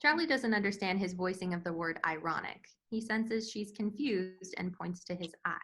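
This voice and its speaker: Bright, forceful woman narrating a script. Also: lowish voice, moderate pace. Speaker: stage directions reader.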